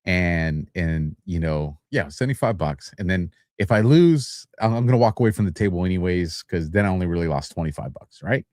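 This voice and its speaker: A podcast co-host, a man with a lowish voice, rambles through his stories and pokes fun at himself along the way. The sound is dry and close, with no room echo. He talks casually, the way you would with friends. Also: lively intonation, fairly quick, few pauses, a little mellow. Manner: rambling, self-deprecating, frequent restarts and laughs. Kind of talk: casual chat.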